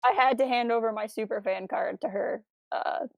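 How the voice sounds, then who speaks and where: A woman's voice: on the high side, normal speed, creaky, no echo, dull audio. A woman co-hosting a casual livestream chat with a playful, bantering way of talking. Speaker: podcast co-host.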